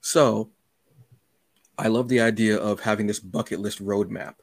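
A man with a low voice hosts a casual interview podcast. He talks effusively and rambles, doubling back on words as he goes. The sound is dry and close, with very little room in it. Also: steady tone, a little slow, dry recording.

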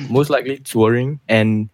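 A man hosting a casual group call, talking in a relaxed, hesitant way with many pauses. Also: mid-range voice. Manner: relaxed, hesitant, soft-spoken. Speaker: podcast host, music producer.

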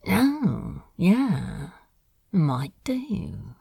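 Low husky male demon acted by a woman, teasing, lively intonation, audio drama, very dry close sound. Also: very slow, no echo, full character voice. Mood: fond. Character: male demon, laid-back, teasing, secretly sentimental. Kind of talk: fan audio drama acting.

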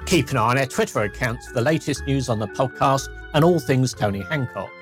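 A man's voice: medium pitch, lively intonation, a little fast, soft background music. A man co-hosting a podcast, talking keenly and reminiscing. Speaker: podcast co-host. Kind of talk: casual chat.

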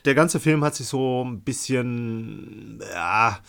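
A man's voice: medium pitch, takes it slowly, gravelly, a bit bright, clear, resonant tone. A man giving a casual, colloquial film review as a podcast co-host.

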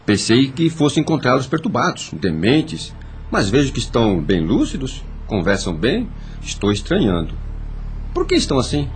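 An actor playing a proud, suspicious former police chief with a Brazilian accent in a radio drama. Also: male voice, mid-range voice, wildly swooping pitch, normal speed, slight background noise. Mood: puzzled, insistent. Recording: music under the voice.

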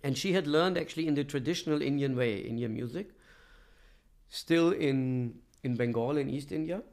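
A middle-aged man from Germany telling his story as an interview guest, with halting, thoughtful pauses. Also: mid-range voice, a little mellow. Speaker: podcast guest, professor and coach. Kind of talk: reflective conversational interview storytelling.

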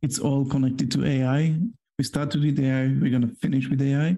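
A man's voice: medium pitch, little pitch movement, a little fast, warm tone. Polish-accented guy, second-language english, chatty podcast cohost, lots of "you know" and "like". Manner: rambling, filler-heavy explaining. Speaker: podcast co-host. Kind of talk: casual chat.

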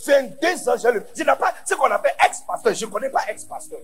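Ghanaian guy preaching in french, english is his first language, real high voice, quiet one second and shouting the next, faint music behind. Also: animated, quick pace. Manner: swings from quiet asides to shouted emphasis. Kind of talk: sermon. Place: large church conference hall.

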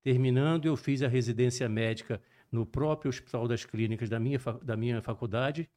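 An older man with a mixed Minas Gerais and Rio Brazilian accent, a cardiologist guest telling his story in a measured, detailed, professorial way. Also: mid-range voice, darkish tone. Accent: mixed Brazilian Portuguese, Minas Gerais and Rio de Janeiro. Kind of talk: relaxed storytelling interview.

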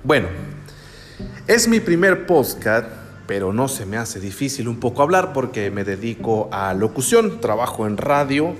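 A man's voice: medium pitch, sing-song, unhurried, big-room sound. A young man from Sinaloa, Mexico, with a Sinaloan accent, a radio announcer chatting casually and a little rambling, with fillers and laughs, on his podcast. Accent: northwestern Mexican Spanish (Sinaloa). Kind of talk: casual first-person podcast chat.

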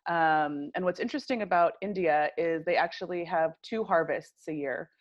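A woman's voice: deep voice, moderate pace, dull audio. A woman in her thirties with an American accent, chatting casually as an interview guest with plenty of ums and uhs. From United States. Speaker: wine industry guest.

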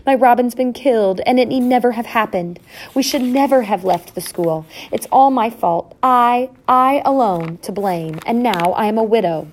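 A woman's voice: mid-range voice, animated, moderate pace, hardly any pauses, warm tone, low-quality recording. A woman voicing a bossy, anxious, melodramatic mother mouse in a storybook, with a commanding, theatrical delivery. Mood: woeful.

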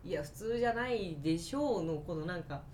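A woman co-hosting a casual podcast, telling personal stories in an animated way and acting out bits of dialogue. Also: on the low side, fairly quick, a little hiss or hum. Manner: animated, acts out quoted dialogue. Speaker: podcast co-host.

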